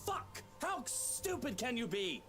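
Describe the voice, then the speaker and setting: An actor playing an arrogant, foul-mouthed, hot-tempered husband in a visual novel, sneering and belligerent. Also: male voice, very high-pitched, wildly swooping pitch, slow pace, sharp tone, heavily acted. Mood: scornful rage. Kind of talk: dramatic visual novel voice acting. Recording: game music behind the voice. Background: game music.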